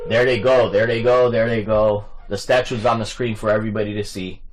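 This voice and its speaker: A man's voice: fairly low pitch, little pitch movement, brisk, a little hiss or hum. A man making an argumentative, officious phone call as a caller requesting records, insistent in his manner.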